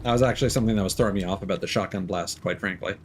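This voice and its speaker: A young adult man streaming a video game, thinking aloud in casual commentary full of fillers and restarts, with sudden excited exclamations. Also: low voice, rapid-fire, background noise.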